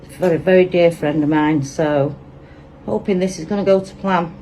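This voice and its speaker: A woman's voice: fairly low pitch, fairly even intonation, brisk, warm tone, slight background noise, low-quality recording. A woman with a Manchester accent, chatting and thinking aloud as she demonstrates a resin craft project at her table. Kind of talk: casual hands-on tutorial.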